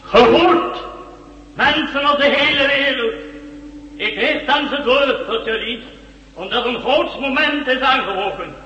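Pompous male dictator, declamatory, very sharp voice, heavy cavernous echo, radio play. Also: extremely high pitch, measured pace, dull audio, exaggerated voice. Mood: solemn, triumphant. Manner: declamatory, solemn. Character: pompous authoritarian leader addressing the whole world. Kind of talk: radio drama broadcast speech. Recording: long echoing hall reverb.

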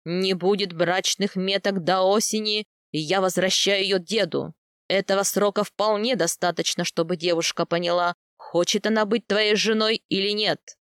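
A woman's voice: medium pitch, steady tone, moderate pace, a bit bright, smooth, no echo, clean studio sound, natural voice. A woman voicing a stern older khan, a grave and formal father who speaks with authority in a fantasy audiobook. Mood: firm. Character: stern older male khan, commanding, wryly indulgent father.

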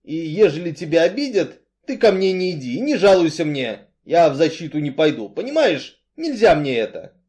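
Guy doing a weary tough strongman, blunt halting talk, emphatic, voice jumps up and down. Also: a little high, big pitch swings, darkish tone, pitched above the performer's own voice. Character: blunt brooding strongman, weary, hardened against pity.

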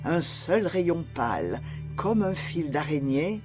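A man playing a nervous, obsessed murderer confessing in a theatrical, halting and deliberate literary narration. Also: on the high side, very animated. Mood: hushed, menacing. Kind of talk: dramatic literary audiobook narration. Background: music under the voice.

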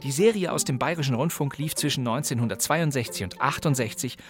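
A man's voice: mid-range voice, fast talker, music in the background. A middle-aged man hosting a podcast, narrating the history of old radio dramas with enthusiasm and expert knowledge.

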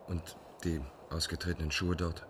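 A deep male voice plays a fugitive former clerk in a radio play. He is formal, measured and proudly cynical, over a clearly audible bed of street-like background noise. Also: level tone, a bit bright. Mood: hesitant, polite. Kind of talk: German radio play acting.

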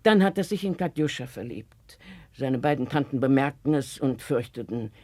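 Elderly female housekeeper, bitter and watchful, measured storyteller with pointed pauses, close dry 1960s radio drama sound. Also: extremely low pitch, expressive, dark tone, no echo, full character voice. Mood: wistful. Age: older. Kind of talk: 1960s German radio play acting.

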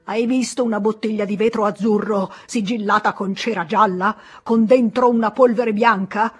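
A woman reading an audiobook voices a pompous, irate middle-aged male pharmacist, scolding loudly and with emphasis in a husky voice. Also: medium pitch, fairly even intonation, moderate pace, faint music behind, pitched above the performer's own voice, natural voice. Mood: lecturing, stern.

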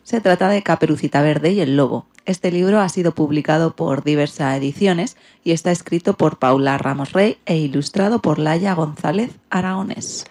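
A woman's voice: low voice, normal speed, hardly any pauses, a little mellow. A woman with a Spain Spanish accent hosting a radio show in a loosely scripted, somewhat hesitant way.

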